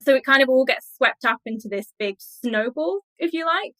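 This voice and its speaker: A woman's voice: sits high, animated, normal speed, fairly bright tone, no room sound. A woman speaking as an academic interview guest, explaining things in a chatty, colloquial way with loose lists. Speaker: academic guest, literature researcher. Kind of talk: informal explanatory interview talk.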